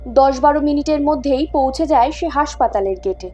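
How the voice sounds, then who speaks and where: A woman's voice: high-pitched, fairly quick, dark tone, faint music behind. A woman delivering dramatic, scripted horror narration in a suspenseful manner.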